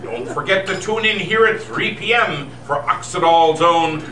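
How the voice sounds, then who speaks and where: A man's voice: high-pitched, measured pace, sharp tone. A man reading a 1930s-style radio commercial as a sponsor announcer at a live event. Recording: distant echoey live event, faint noise.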